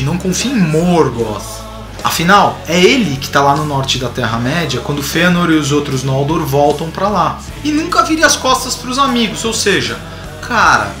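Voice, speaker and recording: A man in his forties with a Brazilian accent, giving casual, colloquial explanatory commentary as a video host. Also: sits high. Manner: animated, colloquial, rhetorical asides. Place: small indoor home room with bookshelves. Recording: roomy echo, music behind.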